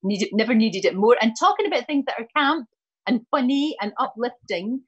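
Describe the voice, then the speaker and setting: Husky Scottish woman hosting. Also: a little high, animated, normal speed, highs cut off. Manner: warm, effusive, repeats words. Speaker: morning TV host. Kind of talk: TV interview chat.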